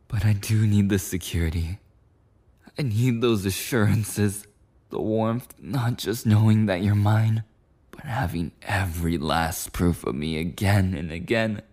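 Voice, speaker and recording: Man acting a husky, obsessive yandere boyfriend. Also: on the low side, a little mellow, full character voice. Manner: breathy rambling, often dropping into whispers. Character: clingy, obsessive, insecure possessive yandere boyfriend. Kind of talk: intimate boyfriend ASMR roleplay.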